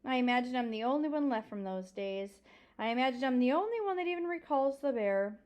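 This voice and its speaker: Woman acting out an elderly female librarian, high voice, theatrical, folksy emphasis, storybook character. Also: expressive, darkish tone, highs cut off. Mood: wistful. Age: older. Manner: emphatic, drawn-out key words, folksy repetitions. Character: elderly Southern lady librarian, proud, dramatic, wistful storyteller.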